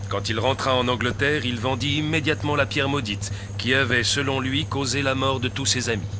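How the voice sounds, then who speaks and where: Actor as a courteous scientist, noisy audio. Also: male voice, mid-range voice, steady tone, brisk, close to an everyday voice. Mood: storytelling, intrigued. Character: courteous, persuasive male scientist, a doctor, recounting mysteries. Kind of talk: dubbed video game cutscene acting.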